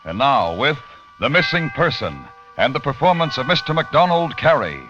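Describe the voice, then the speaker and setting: A man introducing an old radio show in a slow, theatrical manner. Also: medium pitch, very animated, bright, forceful tone. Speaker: programme announcer. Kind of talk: dramatic 1940s-50s radio show introduction. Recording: muffled vintage radio with music.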